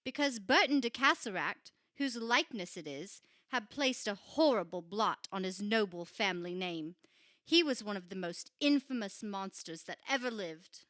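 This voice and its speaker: A woman acting in a radio play as a grave, knowing churchwoman, telling of dark things in a measured, dramatic way. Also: mid-range voice, lively intonation, no echo, clean studio sound, lightly acted. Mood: ominous. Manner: measured, solemn, dramatic storytelling.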